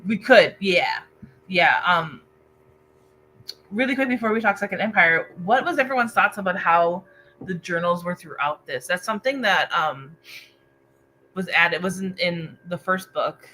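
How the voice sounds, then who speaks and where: A woman's voice: on the low side, expressive, measured pace, sharp tone, slight background noise, low-quality recording. A woman hosting a casual livestream book discussion over a video call, informally reading out viewer comments. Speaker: book club host. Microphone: internet video call.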